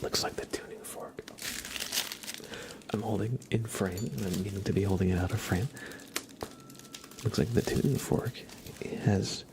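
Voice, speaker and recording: A man whispering and speaking softly and breathily as an ASMR livestream host, gentle and halting, with frequent pauses. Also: background noise. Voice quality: breathy whisper mixed with low soft voice. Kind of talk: whispered ASMR, part role play, part casual chat.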